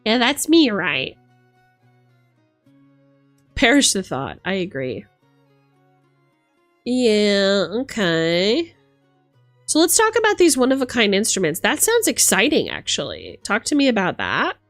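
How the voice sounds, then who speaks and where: Female streamer, theatrical and animated, highly varied pitch, casual live chat and read-aloud, dry sound over music. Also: slow, long pauses, no echo. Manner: animated, theatrical, reacting to chat as she reads. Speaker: streamer host. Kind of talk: casual livestream chat with reading aloud from a rulebook.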